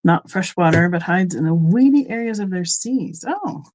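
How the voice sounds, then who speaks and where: A woman's voice: lowish voice, expressive, normal speed, darkish tone. A middle-aged woman from Colorado hosting a live online sale, chatting casually and rambling into asides with frequent ums. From Colorado, United States. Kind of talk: casual live-stream selling chat.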